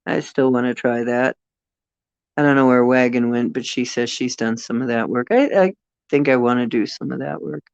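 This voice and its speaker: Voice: mid-range voice, normal speed, gravelly, smooth, no echo, lo-fi sound. An older host with a Northeastern Ohio accent, chatting casually and expressively, laughing often and trailing off with fillers. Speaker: channel host. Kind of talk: casual livestream chat.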